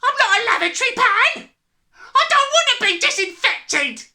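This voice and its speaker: A woman voicing a blustering, panicky, vain middle-aged British father who yells his lines in a storybook. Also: very high-pitched, big pitch swings, moderate pace, voice pushed up, exaggerated voice. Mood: wailing panic. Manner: yelling, blustering, exclamatory.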